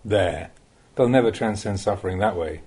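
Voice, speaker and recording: A man reading a translated Buddhist talk aloud as a monk would teach, in measured, didactic, emphatic short phrases. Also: lowish voice, darkish tone, slightly rough.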